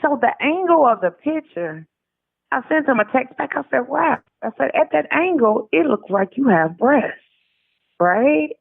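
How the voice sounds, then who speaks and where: A woman's voice: high-pitched, measured pace, gravelly, no room sound. American woman on a tinny phone line. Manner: animated retelling with quoted dialogue, colloquial. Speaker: interview guest, author. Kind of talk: casual conversational storytelling.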